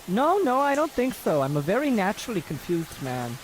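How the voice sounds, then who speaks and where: A young prizefighter in a comic radio play, pitched high and swinging widely from note to note. He is wordy, grandiose and guileless, forever getting the wrong end of things. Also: huge pitch swings, a little fast, slight background noise, rough recording. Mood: earnest, puzzled. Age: young adult. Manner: theatrical, flowery, wordy. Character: naive, florid young Bavarian boxer, easily confused. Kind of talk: comic old-time radio play acting.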